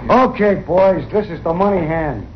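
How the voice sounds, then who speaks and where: A man's voice: high voice, lively intonation, moderate pace. An American man playing a bullying, menacing gambler in a radio western, with sneering, slangy tough talk. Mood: menacing, swaggering. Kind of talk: 1940s-50s radio western acting. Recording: muffled, noisy old radio recording.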